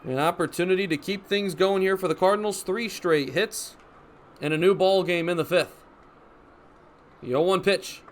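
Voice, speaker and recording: A young man calling baseball play-by-play for radio in crisp, descriptive phrases, as live sports commentary. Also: high voice, normal speed. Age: young adult. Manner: crisp, descriptive phrases with excited calls on big plays. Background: ballpark ambience.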